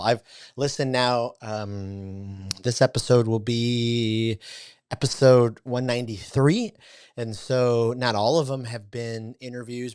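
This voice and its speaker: A man's voice: mid-range voice, clear, resonant tone, no echo, studio quality. A man hosting an interview podcast, talking with effusive enthusiasm and tripping over his words as he restarts sentences. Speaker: podcast host.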